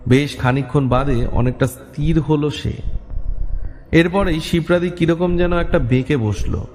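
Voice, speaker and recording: A man's voice: a little high, a little slow, a little mellow. A man narrating a horror story in a dramatic, atmospheric way. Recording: long reverb, music underneath. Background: music.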